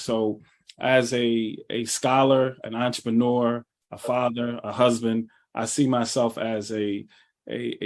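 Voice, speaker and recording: A man's voice: on the low side, darkish tone. A man from Philadelphia introducing himself on a webinar panel, earnest and stacking phrases in lists. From Philadelphia, USA. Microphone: video call.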